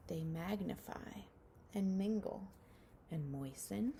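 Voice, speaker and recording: Female early-years teacher, read-aloud for children. Unhurried, soft-edged tone with fry, slightly noisy recording. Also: mid-range voice. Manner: addresses children directly with guiding questions.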